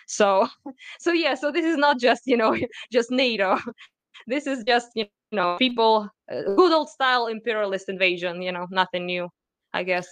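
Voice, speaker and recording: Chatty young Ukrainian-born woman, clear voice. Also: on the high side, normal speed, dry recording. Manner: chatty, many fillers and soft laughs. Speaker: guest. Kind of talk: casual live-stream interview.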